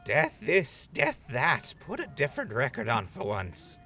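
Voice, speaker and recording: A man's voice: medium pitch, huge pitch swings, unhurried, pushed and bright, soft background music, heavily acted. A man playing a gleeful flame-loving pyromaniac. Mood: mocking. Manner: florid, theatrical, gleeful. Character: gleeful fire-loving male pyromaniac soldier.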